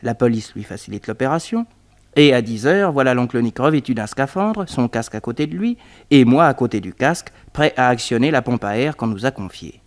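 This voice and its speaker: An eager detective's assistant, played by a man, with a medium-pitched voice. He tells the tale in lively, suspenseful old French radio serial style. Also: a little fast, lightly acted. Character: detective's eager male sidekick telling the adventure. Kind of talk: 1960s radio serial scripted narration.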